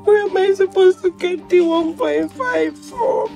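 Distraught nigerian girlfriend in a movie, high voice, begging, bit of music under it. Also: normal speed. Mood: tearful, pleading. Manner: pleading, repetitive. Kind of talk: film drama dialogue.

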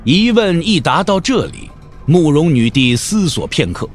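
Male narrator for martial-arts audio drama: very animated intonation, somewhat high pitch, scripted and dramatic. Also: measured pace, soft background music.

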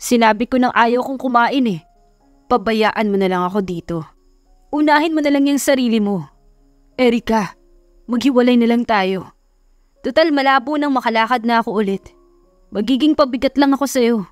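A woman's voice: on the high side, normal speed, pauses often, no echo, soft background music, lightly acted. Woman reading as a bitter, stubborn young husband. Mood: bitter, hopeless. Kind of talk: dramatized audiobook romance reading.

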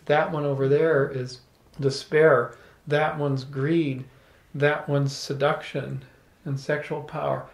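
A middle-aged man telling an animated, playful story to a small audience, holding a handheld microphone. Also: on the high side, takes it slowly, vocal fry. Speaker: salon speaker. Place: room with small live audience. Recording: echoey room.

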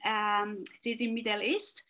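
A woman from Iran with an Iranian accent, giving an academic talk over a video call, halting, with many fillers and restarts. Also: mid-range voice, little pitch movement, sharp tone. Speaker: research fellow presenting a webinar talk. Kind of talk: online academic presentation.

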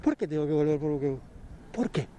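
A man's voice: on the high side, animated, fast talker, warm tone. A man in his thirties with a Uruguayan accent, interviewed outdoors into a handheld mic, complaining emphatically and upset. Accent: Uruguayan Spanish. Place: outdoors at a border post. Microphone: handheld reporter mic.

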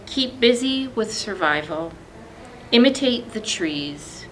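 A woman in her thirties reading literature aloud as a librarian, with measured, deliberate phrasing and pauses. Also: fairly low pitch, noisy background. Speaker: librarian presenting a poetry reading. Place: indoor library, seated among bookshelves.

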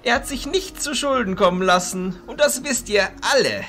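A man playing an elderly, bearded archchancellor in a fantasy audio drama, stern and protective, with deliberate, emphatic phrasing. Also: sits high, lively intonation, sharp tone, soft background music. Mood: firm, insistent.

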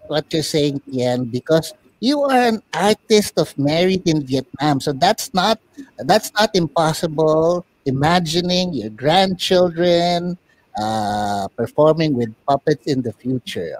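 Man as a boy puppet host, higher voice, dry close sound, courteous interviewer, deliberate phrasing. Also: animated, no room sound, poor audio. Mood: courteous, curious. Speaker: puppet talk show host. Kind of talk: conversational interviewing.

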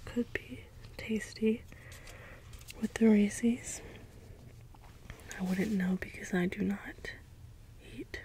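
A woman playing a gentle, puzzled caretaker robot in a soft, breathy ASMR role-play whisper, with halting, deliberate delivery. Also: medium pitch, steady tone. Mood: gentle, wistful. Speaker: ASMR performer. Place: small dim indoor space with cloth backdrop. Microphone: close ASMR microphone.

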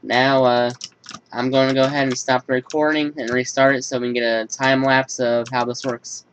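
A man's voice: medium pitch, level tone, moderate pace. A young man hosting a gaming channel, talking through a build tutorial and then a casual personal update with many fillers, recorded at home. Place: home room. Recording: dull lo-fi home recording with faint hiss.

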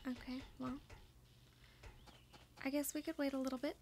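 High-voiced woman musing through a game. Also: flat delivery, normal speed, darkish tone, slight background noise. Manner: musing aloud to herself. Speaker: streamer playing a farming game. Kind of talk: casual let's play commentary. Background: game music.

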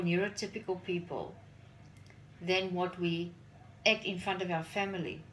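A woman's voice: mid-range voice, a little hiss or hum, low-quality recording. A woman in her forties, a native Afrikaans speaker, talking earnestly to a phone camera in her home kitchen while doing chores, often repeating words as she searches for them. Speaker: parent vlogger. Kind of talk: casual talk to camera. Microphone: phone camera on the counter.

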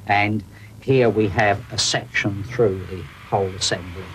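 A middle-aged man explaining his engineering work for a documentary in measured, formal wording. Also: lowish voice, steady tone. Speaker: theatre engineer. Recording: clearly noisy workplace recording.

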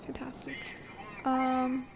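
A young woman doing casual gameplay commentary, muttering to herself with quick exclamations. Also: high voice, completely flat delivery, lo-fi sound. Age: young adult. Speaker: streamer playing a video game. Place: small indoor room. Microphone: close desktop microphone. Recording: clear game noise behind voice. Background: game sound effects and vehicles.

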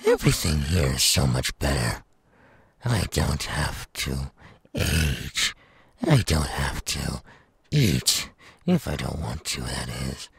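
Male demon villain, audio roleplay: menacing and taunting, gravelly, swinging widely in pitch, recorded close and dry. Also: very animated, no room sound, full character voice. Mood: smug. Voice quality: gravelly, raspy. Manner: slow, taunting, drawn-out delivery with wide swings. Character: menacing, sadistic male demon villain craving human flesh. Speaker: voice actor.

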